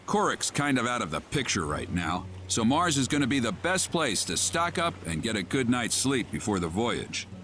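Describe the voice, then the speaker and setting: A man's voice: mid-range voice, very animated, normal speed, hardly any pauses, noisy background, lightly acted. A man voicing a laid-back, wry sea captain who gives advice in a video game. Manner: casual, wry and talkative. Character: laid-back middle-aged male ship captain. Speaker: ship captain.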